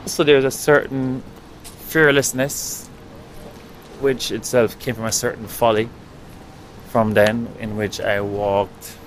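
A man with a Jamaican accent, a writer, recounting his own experiences in a measured, thoughtful way with pauses mid-sentence. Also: mid-range voice, steady tone, a little hiss or hum, poor audio. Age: adult. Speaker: writer and guest. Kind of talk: reflective storytelling.

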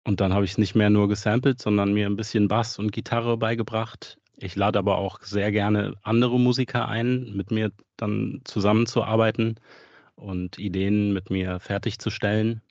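A man with a low, gravelly voice talks in a loose, casual, reflective way, as if chatting in an interview. The recording is dry and close, with a slightly dull, muffled tone. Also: level tone, moderate pace, a little mellow, dry recording. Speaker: musician interviewed. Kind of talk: relaxed interview talk.

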